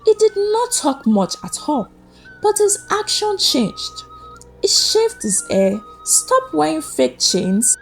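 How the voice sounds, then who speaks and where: A woman narrating a scripted folktale as a storyteller, with suspenseful, deliberate phrasing. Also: very high voice, big pitch swings. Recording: music behind the voice. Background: background music.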